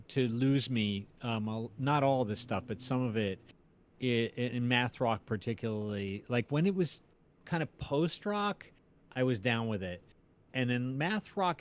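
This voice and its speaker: A man co-hosting a casual, opinionated chat about music. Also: mid-range voice. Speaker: co-host.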